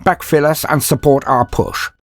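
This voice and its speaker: A man's voice: a little high, steady tone, moderate pace, no echo, soft background music, pitched above the performer's own voice, natural voice. A man voicing a bold, wry military commissar in an audio story, with clipped delivery. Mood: confident, wry. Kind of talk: dramatic audio story character voice.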